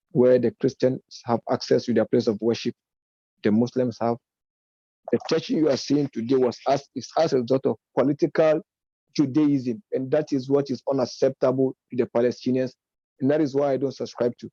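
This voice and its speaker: A man's voice: medium pitch, dark tone, husky, no room sound, lo-fi sound. A man giving an unscripted lecture-style commentary, arguing step by step and correcting himself as he goes. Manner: argumentative, self-correcting, measured delivery. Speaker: speaker on politics and religion.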